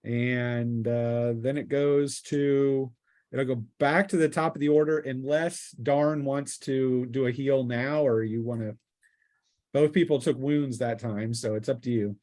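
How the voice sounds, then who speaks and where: A man's voice: mid-range voice, fairly even intonation, measured pace, darkish tone. Casual man running a tabletop game. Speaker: game master. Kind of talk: narrating a tabletop role-playing game.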